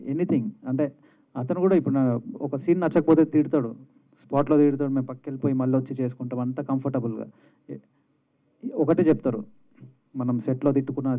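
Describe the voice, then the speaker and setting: Man, mid pitch, modest, hesitant, press conference answers, tinny narrow-band recording. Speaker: film actor. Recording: thin, phone-like event audio.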